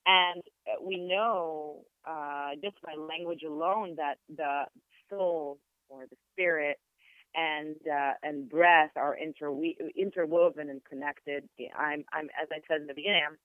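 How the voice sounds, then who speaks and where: A woman with an Israeli accent, a Hebrew native speaker, explaining things as a podcast guest in a measured, searching way, with frequent fillers and restarts. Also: low voice, steady tone, dry recording. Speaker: podcast guest, sound therapist. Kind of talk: reflective explanatory interview talk. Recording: tinny phone-like line, dry.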